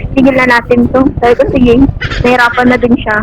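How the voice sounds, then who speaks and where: A woman's voice: on the high side, monotone, brisk, noisy background. A young adult woman with a Filipino Manila accent, chatting casually and at length as a motorcycle passenger, mixing English into Tagalog. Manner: talkative, English mixed into Tagalog. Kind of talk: casual chat. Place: outdoors on a moving motorcycle. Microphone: helmet intercom.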